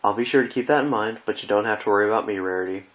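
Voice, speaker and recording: A man's voice: lowish voice, racing, fairly bright tone, lightly acted. This is a bookish young female pony from a cartoon fan story, played by a male reader. The delivery is reassuring and matter-of-fact. A mild impatience sits under the patient manner. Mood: patient, mildly annoyed. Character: studious young female pony, patient but mildly annoyed.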